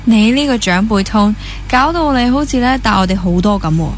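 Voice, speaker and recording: A woman's voice: fairly high pitch, expressive, a little fast, slight background noise, natural voice. A young woman with a Hong Kong Cantonese accent playing a frank, bantering dancer in a naturalistic radio drama. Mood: teasing. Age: young adult.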